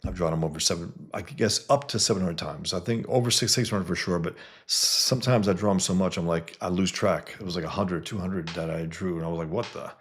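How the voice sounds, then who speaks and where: A cartoonist from the Bronx, talking casually to followers on a livestream, with many fillers and restarts. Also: male voice, low-pitched, brisk, slightly rough. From the Bronx, New York. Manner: greets viewers mid-talk.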